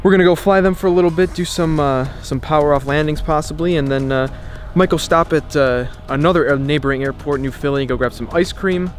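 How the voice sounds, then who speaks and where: A man's voice: fairly high pitch, fast, hardly any pauses, faint music behind. A young man in his twenties from Ohio, chatting casually in a vlog at an airfield and in a small plane's cockpit, with many "uh" fillers. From Ohio, USA. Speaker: aviation vlogger. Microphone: headset mic in the cockpit.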